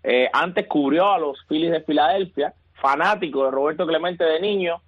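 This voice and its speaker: Man, baseball analyst, emphatic, high voice, dry close sound, phone-line audio. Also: dry recording. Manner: fast, emphatic, building excitement. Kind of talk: sports radio chat.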